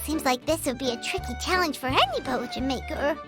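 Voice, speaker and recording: A woman voicing a small, earnest, excitable childlike fairy companion with a squeaky voice in a video game. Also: a little fast. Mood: thoughtful. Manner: refers to self in third person, peppy. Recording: loud tinkly game music behind.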